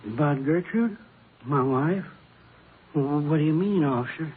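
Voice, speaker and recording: Bewildered accused husband: American, higher-set male voice, humble and halting, vintage radio drama with faint hiss. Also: animated, phone-quality sound. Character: accused husband, bewildered, pleading, grieving and gentle. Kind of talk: 1950s radio drama acting. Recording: old band-limited radio broadcast, hissy.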